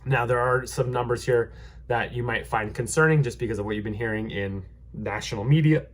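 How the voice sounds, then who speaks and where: Guy with a stuffy nose from a cold, realtor rambling through market numbers, lots of ums, average pitch. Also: fairly even intonation, fairly quick. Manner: rambling, many ums and restarts, upbeat reassuring. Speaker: realtor giving a market update. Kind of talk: casual vlog market commentary.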